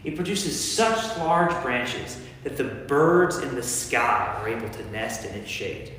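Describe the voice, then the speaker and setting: A man playing an authoritative teacher of parables in a staged scripture reading, speaking deliberately in a church. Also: medium pitch, very animated, a bit bright. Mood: earnest teaching. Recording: echoey church hall, faint background noise.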